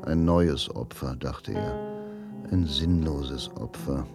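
A man's voice: extremely low pitch, takes it slowly, creaky, heavily acted. A man narrating a crime story in a radio drama, with sober, scripted literary delivery. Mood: sober, suspenseful. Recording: music behind the voice.

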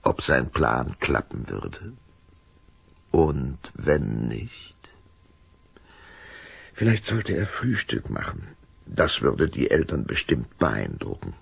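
A man with a gravelly voice narrating a scripted audio drama for children. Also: extremely low pitch, very animated, slow pace, long pauses, no echo.

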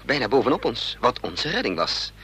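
A man narrating a Dutch radio play in the first person, measured and suspenseful. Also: mid-range voice, sing-song, pushed and bright, slightly rough. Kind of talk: scripted dramatic narration. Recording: radio play recording with faint noise.

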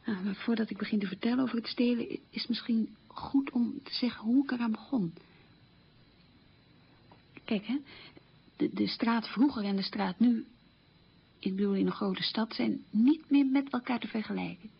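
An actress playing a reflective middle-aged woman recalling wartime childhood hunger in a halting, emphatic radio monologue, with a husky, creaky voice. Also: fairly high pitch, full character voice. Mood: reflective, candid. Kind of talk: radio play monologue, conversational reminiscence. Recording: dull lo-fi radio recording.

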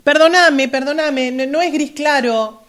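Casting: woman as a polite, exacting customer in an Argentine radio play, high-pitched voice, Rioplatense accent. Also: measured pace, a bit bright. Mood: objecting. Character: polite, exacting female customer in a fitting room.

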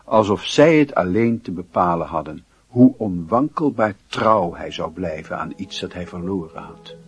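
A man's voice: deep voice, lively intonation, moderate pace, breathy edge, exaggerated voice. A man narrating a literary radio drama in a solemn, introspective, dramatic manner. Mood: grave, resolute. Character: solemn storyteller of a lovesick youth's inner life.

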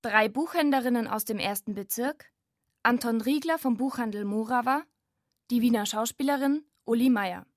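A woman presenter with a fairly high voice reads scripted announcements. The sound is dry and close, like studio narration. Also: moderate pace, dry recording.

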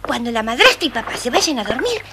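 A woman's voice: a little high, sing-song, quick pace. A woman voicing a brave, protective little boy in a children's fairy-tale recording, earnest and reassuring. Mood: determined. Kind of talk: dramatized children's audio storybook acting. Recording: noisy old storybook recording.

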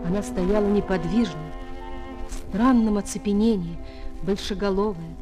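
A woman playing a schoolteacher who narrates a children's audio play with expressive storytelling. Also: mid-range voice, normal speed, close to an everyday voice. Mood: wistful. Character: female schoolteacher quietly following and admiring her pupil. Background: music.

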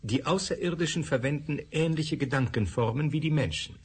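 Radio play: deliberate scientist, strongly raspy timbre. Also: male voice, a little high. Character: male scientist discussing findings.

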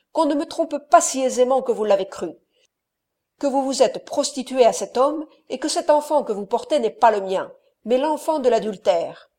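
Jealous furious count shouting accusations, as a woman reader puts him on, a bit high. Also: lightly acted. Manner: loud, raging accusations. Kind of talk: audiobook reading of a novel.

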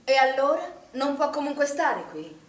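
An actress playing a firm, defensive director of a swimming pool in a naturalistic stage drama, speaking formally. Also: female voice, sits high, very animated, normal speed. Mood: guarded, firm. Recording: roomy, distant stage recording with noise.

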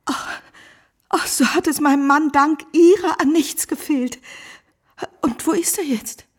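An actress playing an anguished, pious, middle-aged abandoned wife of noble rank in a radio play, formal and pleading, with a breathy voice. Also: female voice, high voice, unhurried. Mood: surprised, anxious. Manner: formal, pleading, emotionally fraught. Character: anguished, pious abandoned baroness, earnest and dignified. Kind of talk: German radio play acting.

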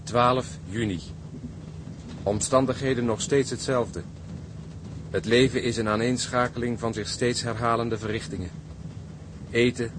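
A man's voice: medium pitch, lightly acted. An actor playing a sober seaman who narrates his grim voyage diary in an audio drama. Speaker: narrator and ship's officer keeping a diary. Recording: clearly noisy audio track.

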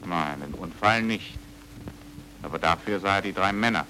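A man's voice: low-pitched, normal speed, pushed and bright, a little hiss or hum, rough recording. An actor playing a dogged, suspicious man investigating in a dubbed film noir, terse and insistent. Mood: suspicious, pressing.

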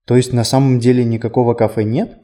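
A man's voice: mid-range voice, little pitch movement, fast, dark tone, natural voice. A man voicing a curious, timid woman visitor in audiobook dialogue, questioning and hesitant. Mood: surprised, wondering.

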